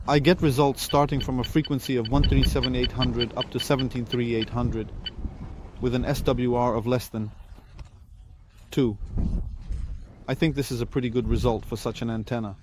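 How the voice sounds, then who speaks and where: A man's voice: medium pitch, steady tone, moderate pace, background noise. A man giving a hands-on product review and demonstration, explaining plainly and step by step as the presenter. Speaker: presenter reviewing radio equipment.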